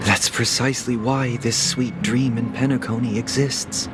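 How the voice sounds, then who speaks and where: A man playing a stern, protective young man in a game cutscene, formal, calm and restrained. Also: mid-range voice, moderate pace, heavy background noise, natural voice. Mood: assured. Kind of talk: anime-style game cutscene acting. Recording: loud music behind the voice. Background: loud music.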